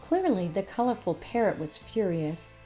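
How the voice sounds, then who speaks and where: A woman's voice: mid-range voice, sing-song, normal speed, dark tone, faint music behind. A woman narrating a children's storybook as a storytime host, in a scripted read-aloud style.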